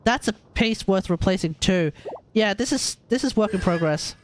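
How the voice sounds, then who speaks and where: A man's voice: high voice, lively intonation, normal speed, slight background noise. A man who streams games, giving casual, slangy commentary and bluntly thinking aloud as he reviews. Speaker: game streamer.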